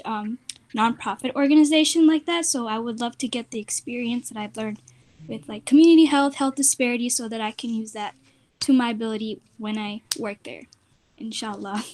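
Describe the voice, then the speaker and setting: A young adult woman giving an informal self-introduction to a group. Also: fairly high pitch. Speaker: former nonprofit intern.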